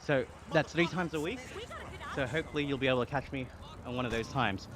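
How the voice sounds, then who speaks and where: An upbeat young Australian man talks to his stream viewers while pedalling a workout bike, laughing easily and thanking people by name. His voice sits at an ordinary pitch. Car and street sounds from a game run clearly behind him. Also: fast talker, background noise. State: exercising on an indoor bike. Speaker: live streamer. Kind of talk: casual chat reading viewer comments.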